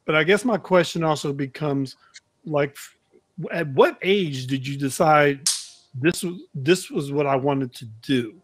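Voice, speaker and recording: A middle-aged man hosts a casual interview on a video call. His voice is fairly high for a man and he feels his way through questions in halting, roundabout phrases. The sound is close and dry. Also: no echo. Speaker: interview host. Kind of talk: casual chat. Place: indoor room, video call.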